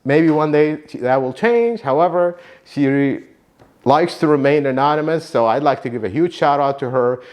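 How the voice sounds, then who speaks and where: Earnest man from Iraq thanking viewers. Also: moderate pace. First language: Arabic. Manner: earnest, warm gratitude, lively pitch swings. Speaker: YouTube channel host, car mechanic. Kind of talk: heartfelt thank-you talk to viewers.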